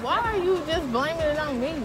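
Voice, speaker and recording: Excitable young American woman vlogging, lively pitch. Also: over a noisy crowd. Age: young adult. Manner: excitable, playful, drawn-out words. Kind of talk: casual vlog chat. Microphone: handheld phone at arm's length.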